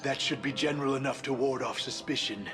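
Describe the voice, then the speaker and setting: A man's voice: medium pitch, brisk, over music, close to an everyday voice. A man voicing a reluctant, bargaining alien informant in a video game.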